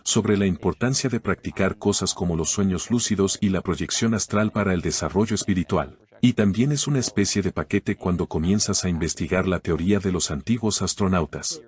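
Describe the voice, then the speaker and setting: Very deep-voiced calm man explaining. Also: fairly quick, hardly any pauses. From Canada. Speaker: interview guest. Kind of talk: explanatory interview answers.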